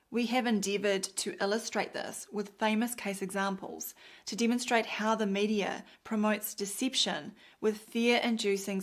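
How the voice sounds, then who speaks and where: A woman with a New Zealand accent, a doctor narrating her own nonfiction audiobook in a measured, deliberate reading style. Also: medium pitch.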